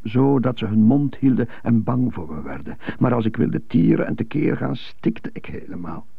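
An actor playing a bitter, tormented man who stutters, brooding in a halting radio drama monologue. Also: medium pitch, expressive, full character voice. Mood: resentful. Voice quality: stuttering, husky. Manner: brooding, halting, resentful muttering. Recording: muffled, roomy, narrow-band old radio recording.

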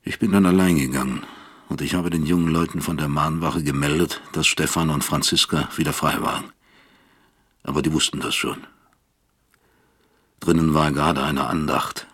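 An actor playing a weary, brooding older professor who reflects gravely in a radio drama. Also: male voice, rumbling low, fairly even intonation, normal speed, pauses often, fairly bright tone, heavily acted. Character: weary, brooding male East German professor, troubled narrator. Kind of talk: radio drama acting and narration.

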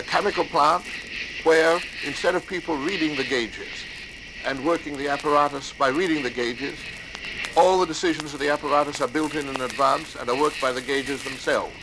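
A man giving a deliberate, emphatic, lecture-like talk as a scientist explaining his research. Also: on the high side. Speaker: scientist speaking about his own research. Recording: noisy archival speech recording.

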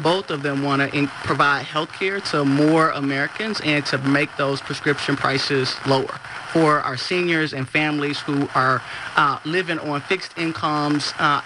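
Man speaking in a measured, halting way. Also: on the high side, background noise. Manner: measured, with frequent fillers and restarts. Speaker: campaign senior advisor. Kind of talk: on-the-street interview.

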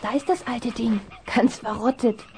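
Give me a woman playing a high, mellow, adventurous girl. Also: steady tone, normal speed, lightly acted. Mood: curious. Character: curious, adventurous young girl, soothing big sister. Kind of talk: 1970s German radio play for young listeners. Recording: vinyl rip with faint noise.

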